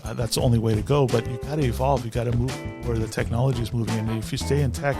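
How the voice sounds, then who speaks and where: A man's voice: medium pitch, fairly even intonation, racing, loud music behind the voice. A middle-aged man from San Francisco, a guest who tells his career story in an easygoing, reflective way and laughs at himself. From San Francisco, USA. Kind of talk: interview storytelling.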